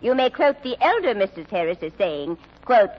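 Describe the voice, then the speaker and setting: A woman's voice: mid-range voice, sing-song, normal speed. An actress playing a cynical old widowed mother in an American old-time radio mystery, speaking in a blunt, sardonic, folksy way. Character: sharp-tongued, cynical old widowed mother of a publishing family. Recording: dull vintage radio recording.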